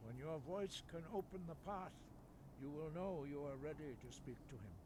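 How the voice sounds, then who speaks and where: An actor playing a serene elder monk in a fantasy video game, teaching in a measured, formal, pious way. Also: male voice, on the high side, animated, noisy background, close to an everyday voice. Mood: solemn, instructive. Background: game music.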